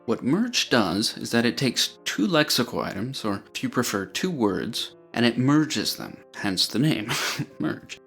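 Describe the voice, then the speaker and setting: A man narrating an explanatory video, speaking in a clear, measured way and walking through ideas step by step. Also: medium pitch, big pitch swings, fairly bright tone, faint music behind.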